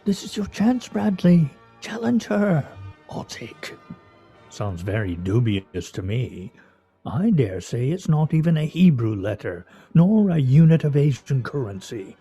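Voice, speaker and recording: A theatrical, needling husband in a radio play. His voice is very dark and husky, and he talks in florid mock-formal phrases with swooping pitch. The recording is slightly dull, with music behind. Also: sing-song, unhurried, exaggerated voice. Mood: goading. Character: pompous, needling, theatrical husband and scrabble player.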